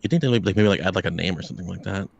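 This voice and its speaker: A man's voice: deep voice, rapid-fire, gravelly. A man chatting casually as a guest, often repeating words as he thinks, on slightly lo-fi audio. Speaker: guest giving reactions and rankings. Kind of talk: casual chat.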